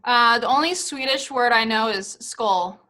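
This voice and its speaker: A woman's voice: fairly high pitch, unhurried, pushed and bright. A woman in her thirties, a former professional soccer player, talking casually to a college team on a video call, with lots of fillers. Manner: chatty, many fillers and hedges. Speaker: guest speaker, former pro soccer player.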